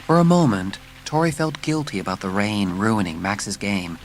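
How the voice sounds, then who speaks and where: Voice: sits low, normal speed, slight background noise. A narrator reading scripted third-person storytelling for a dramatised audiobook.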